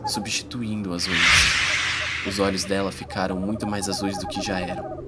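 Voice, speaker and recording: Guy playing brazilian schoolboy telling his fantasy adventure, audiobook drama, bit uneasy, music under it. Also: deep voice. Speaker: first-person narrator.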